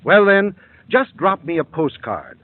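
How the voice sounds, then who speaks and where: A man's voice: sits high, very animated, measured pace, dry recording. A man reading a scripted radio advertisement as a sponsor spokesman, earnest and persuasive. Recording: tinny dry old radio broadcast.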